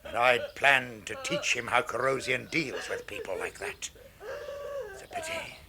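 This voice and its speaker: An actor playing a ruthless, pompous master criminal in a radio drama, speaking formally and with menace. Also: male voice, medium pitch, huge pitch swings, measured pace, creaky, heavy background noise, exaggerated voice. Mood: cold, scornful.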